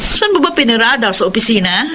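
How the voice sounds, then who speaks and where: A woman's voice: on the high side, sing-song, fast talker, background noise. A Filipino woman playing a worried, nagging young wife and mother in a radio drama, pleading and pressing. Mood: pressing, suspicious. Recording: background music under the voice.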